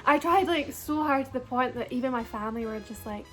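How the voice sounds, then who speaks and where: High-voiced soft Scottish woman, chatty. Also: fairly quick, faint music behind. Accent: soft Scottish, partly Americanized. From Scotland. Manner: chatty, frequent likes and ums, laughs between words. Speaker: vlogger. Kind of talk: casual personal storytime.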